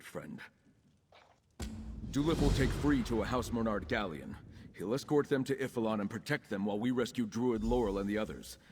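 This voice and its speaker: An actor playing an earnest, commanding knight in a video game, speaking in a formal, purposeful way. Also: male voice, fairly low pitch, darkish tone, breathy edge, in a large hall. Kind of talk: scripted video game dialogue.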